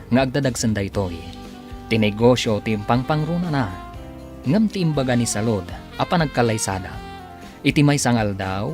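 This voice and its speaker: A Filipino man acts as announcer for a radio drama, reading credits and recaps in a scripted dramatic style. His pitch is very animated, and music plays clearly behind him. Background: music bed.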